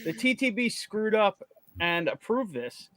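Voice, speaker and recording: A man's voice: sits high, lively intonation, measured pace, a crowd in the background. A man on a whiskey stream speaking emphatically, repeating phrases as he reads from a bottle label. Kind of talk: casual chat.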